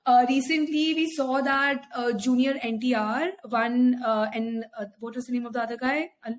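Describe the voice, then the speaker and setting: A woman's voice: a little high, steady tone, normal speed. A young woman with an Indian accent, co-hosting a casual podcast, talking hesitantly with many fillers. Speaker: podcast co-host.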